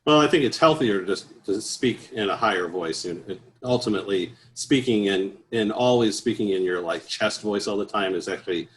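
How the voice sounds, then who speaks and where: A man with a fairly low speaking voice talks in an easygoing, halting way. He restarts often and fills pauses with soft sounds while he explains things. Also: slight background noise. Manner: easygoing, many restarts and "uh"s, chuckles at his own stories. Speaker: choral bass singer, guest. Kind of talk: relaxed interview answers.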